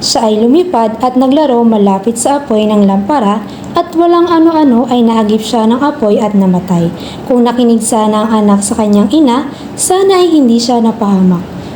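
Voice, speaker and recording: A high, soft-toned mother played by a woman in an amateur radio drama. She is gentle and worried, with a cautioning manner. The sound is roomy, with faint noise behind. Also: expressive, moderate pace, lightly acted. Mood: gentle, cautionary.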